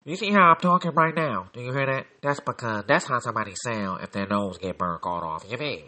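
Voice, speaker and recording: A man's voice: mid-range voice, animated, brisk, bright, forceful tone. A man hosting his own podcast, delivering a joking, repetitive sports rant. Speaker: podcast host.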